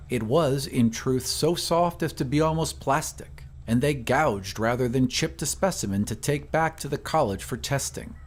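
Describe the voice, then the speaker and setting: A man reading a horror story as scripted dramatic narration, in a measured, literary storytelling manner. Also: mid-range voice. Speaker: narrator of an audio drama podcast. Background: ambient sound effects.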